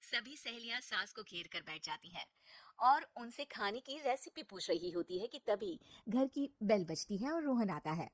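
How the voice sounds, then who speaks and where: A woman's voice: medium pitch, expressive, moderate pace, no room sound. A woman narrating a scripted moral story as the storyteller.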